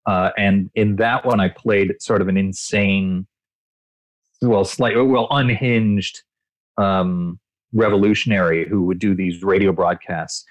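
A male voice actor being interviewed, telling expansive, animated anecdotes with frequent restarts. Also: low voice, takes it slowly, no room sound, rough recording. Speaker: voice actor guest. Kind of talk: interview answers, storytelling.

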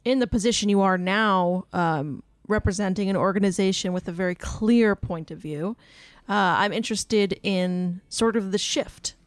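A woman's voice: medium pitch, moderate pace, no echo. Woman co-host asking long, considered questions. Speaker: podcast co-host and staff writer. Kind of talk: casual podcast interview.

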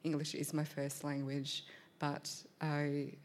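A woman speaking on a panel, thoughtful and measured, with frequent pauses and ums. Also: sits low, completely flat delivery. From Australia. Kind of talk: panel discussion.

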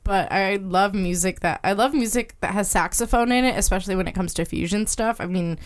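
A woman's voice: mid-range voice, a little fast. Chatty young woman, getting over a cold. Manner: enthusiastic, laughs easily, rambling with fillers. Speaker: arts and culture writer, podcast guest. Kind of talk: casual music-recommendation chat.

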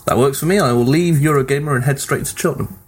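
A man's voice: mid-range voice, quick pace, breathy edge. A man chatting casually as a podcast host, with a wry, pedantic way of putting things. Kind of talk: casual gaming podcast chat.

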